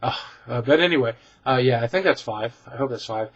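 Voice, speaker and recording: A man in a room at home talks straight to his webcam, rambling through viewer questions with candid, self-deprecating humor. His voice sits at an ordinary middle pitch and comes through slightly dull and lo-fi. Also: expressive, brisk. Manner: chatty, candid and self-deprecating, with many ums and restarts. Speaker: vlogger answering viewer questions. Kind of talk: casual rambling Q and A.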